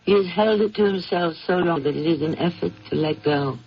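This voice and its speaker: Higher-pitched American man narrating radio drama. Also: fairly quick, slight background noise. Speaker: host and narrator. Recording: dull old radio broadcast.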